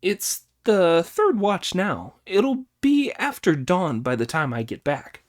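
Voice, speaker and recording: A man's voice: high voice, slow pace, pitched above the performer's own voice, full character voice. A man reading an audiobook, voicing a cheeky, boastful male monkey king who bosses the others around. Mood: bossy. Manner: boastful, commanding, excitable.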